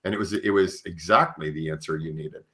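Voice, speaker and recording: Deep-voiced, bright-toned male podcast host. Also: fairly quick. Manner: informal, often tags phrases with "you know". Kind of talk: conversational interview.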